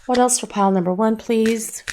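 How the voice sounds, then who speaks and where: A middle-aged woman hosting a tarot reading, speaking in a calm, measured way and gently repeating her questions as she draws each card. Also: fairly high pitch. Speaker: tarot reader.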